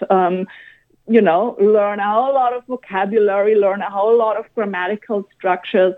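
A woman from Germany with a German accent, answering radio interview questions in a thoughtful, explanatory way with frequent pauses and ums. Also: medium pitch. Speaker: guest expert on language learning. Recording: phone-line interview audio.

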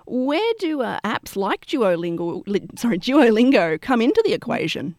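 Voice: mid-range voice, sing-song, moderate pace, darkish tone, no room sound, clean studio sound. A radio interviewer asking questions in a casual, hesitant way with frequent uhs.

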